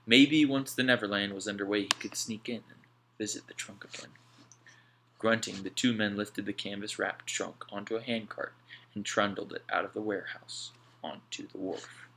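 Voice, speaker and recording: A man reading an audiobook aloud in an expressive storytelling style. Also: fairly low pitch, normal speed, vocal fry, slight background noise, low-quality recording. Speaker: audiobook reader.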